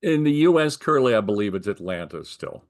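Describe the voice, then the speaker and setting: A man's voice: medium pitch, sing-song, fairly quick. An older American man in a conversational interview, explaining his working life in careful detail. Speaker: retired air traffic controller being interviewed.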